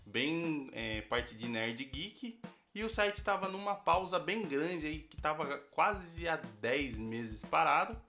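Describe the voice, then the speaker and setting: A man's voice: a little high, animated, takes it slowly, few pauses, sharp tone. A Brazilian man, a podcast host, giving a casual recorded testimonial as a guest. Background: music.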